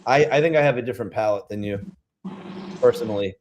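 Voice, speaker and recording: A man's voice: fairly low pitch, quick pace, a little mellow, highs cut off, a little hiss or hum. Chatty man with a Rhode Island accent. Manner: chatty, rambling, reads out viewer comments. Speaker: beer review vlogger. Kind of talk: casual livestream chat.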